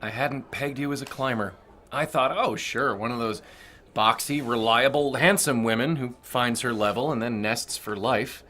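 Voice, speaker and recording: An actor playing a crude, domineering corporate boss in an audio drama, mocking and menacing in manner. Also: male voice, mid-range voice, moderate pace, bright, forceful tone.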